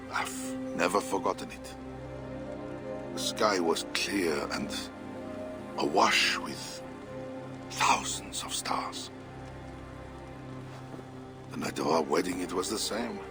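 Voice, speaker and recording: A man's voice: low-pitched, takes it slowly, pauses often. An actor playing an embittered, jealous Frankish king in a video game, pompous and mockingly courteous in manner. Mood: wistful, theatrical. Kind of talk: dramatic video game acting. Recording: music behind the voice. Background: prominent music.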